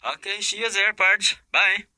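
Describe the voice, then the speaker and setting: A man's voice: sits high, little pitch movement, measured pace, pushed and bright, no echo, heavily acted. An actor playing a friendly, modest young professional man in a radio drama. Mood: cheerful. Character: friendly, modest young architect, respectful to elders.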